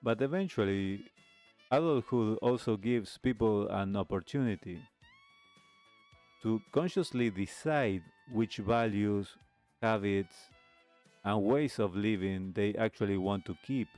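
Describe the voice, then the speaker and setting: Male talk host, low register, very unhurried and deliberate, reflective monologue over a music bed. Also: a little mellow. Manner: measured, deliberate phrasing with pauses. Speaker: radio show host.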